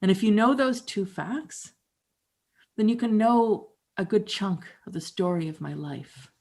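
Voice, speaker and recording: A woman in her fifties from Canada, performing a conversational first-person monologue with dramatic pauses. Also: mid-range voice, a little mellow. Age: 50s. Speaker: storyteller and musician.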